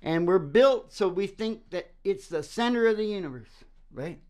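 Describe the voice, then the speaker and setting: A man's voice: high-pitched, lively intonation, moderate pace, darkish tone. A man hosting a casual philosophical chat, talking in a rambling, folksy, preachy way and often checking that he is agreed with. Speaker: host.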